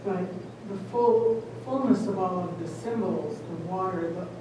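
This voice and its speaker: A woman in the audience offering a thoughtful, halting comment at a parish talk. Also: lowish voice. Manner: halting, thoughtful, many pauses. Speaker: audience member. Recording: echoey hall, distant mic, noisy.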